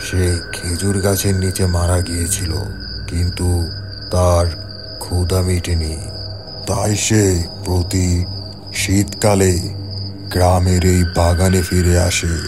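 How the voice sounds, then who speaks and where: A grave tantric exorcist, acted by a man for a horror cartoon, pronounces solemnly in a very low, barely varying voice. He is commanding and measured, with music and night insects behind him. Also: level tone.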